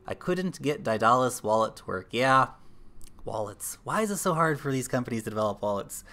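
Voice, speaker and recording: A young man with an American accent hosts a casual livestream, talking loosely to his viewers with plenty of fillers. His voice is bright and sits at an ordinary middle pitch. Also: very animated, a little fast. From New Zealand. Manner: chatty, addresses viewers as guys, thinks aloud with fillers. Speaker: livestream host. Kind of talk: casual livestream chat reading viewer comments.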